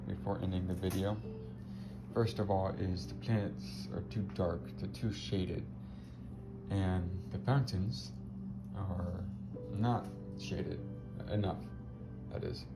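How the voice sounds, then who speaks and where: A man with a raspy voice talking casually about his finished spray painting, hesitant with many pauses and fillers. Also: low voice. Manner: hesitant, many ums and restarts. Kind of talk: casual video commentary. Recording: muffled audio with loud music behind. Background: loud background music.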